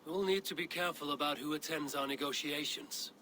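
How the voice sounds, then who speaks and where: An actor playing a grave, level-headed Viking war leader in a video game, speaking in a measured, commanding way. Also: male voice, fairly high pitch, pushed and bright, a little hiss or hum, poor audio. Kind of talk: dramatic video game acting.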